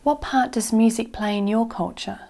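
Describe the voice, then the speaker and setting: Mellow higher-voiced woman examiner. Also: moderate pace. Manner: polite, formal, directing questions. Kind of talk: scripted exam interview.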